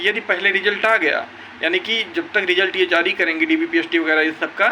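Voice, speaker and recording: A young man speaks in a high, piercingly bright voice. He addresses viewers informally and insistently, circling back to stress his points. There is faint noise behind him. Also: poor audio. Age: young adult. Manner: emphatic, insistent, repetitive. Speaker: exam-news video creator. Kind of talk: informal update to viewers, recounting a meeting.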